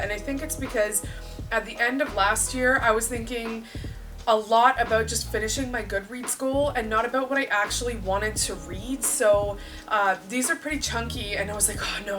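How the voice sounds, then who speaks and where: A woman book vlogger chatting casually to camera, a little croaky after a cold, self-deprecating and rambling. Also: on the high side. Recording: distant voice with clear music behind.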